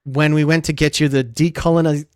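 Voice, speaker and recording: A male podcast host, chatting casually and joking freely with his guest. His voice is smooth and resonant, recorded dry and close with no room sound. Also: a little high, little pitch movement, a little fast, no echo. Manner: jokey, laughs easily. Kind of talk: casual interview chat.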